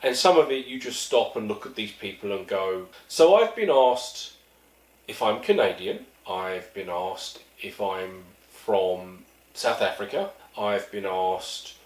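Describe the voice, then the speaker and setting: A man's voice: on the low side, animated, unhurried. A middle-aged man from East London, with an East London accent, telling wry, self-deprecating stories in a casual monologue. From East London, England. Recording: echoey room, mic at a distance.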